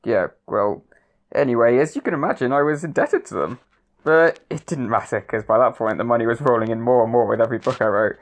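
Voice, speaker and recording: A woman's voice: rumbling low, brisk. A woman playing a pompous male writer, polite but flustered and stammering when cornered. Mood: explaining. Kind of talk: amateur radio play.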